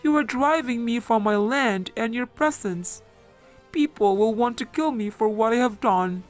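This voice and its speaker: A woman's voice: a little high, measured pace, faint music behind, close to an everyday voice. A woman voicing a guilty, aggrieved man in a children's Bible story, with a plaintive, protesting manner. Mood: aggrieved, pleading. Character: guilty, aggrieved young man, a brother who killed.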